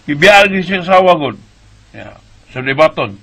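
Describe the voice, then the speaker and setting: A man's voice: fairly high pitch, expressive, moderate pace, bright, forceful tone, full character voice. A man playing a joking cousin who teases in a chuckling way and urges his relative to use social media. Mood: teasing. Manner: teasing, chuckling. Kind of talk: radio drama acting.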